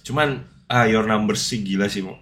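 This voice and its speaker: A man's voice: on the low side, normal speed, faint music behind. A man in his late twenties, a guest streamer, chatting casually with heavy slang and frequent swearing. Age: 20s. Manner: animated, swears often.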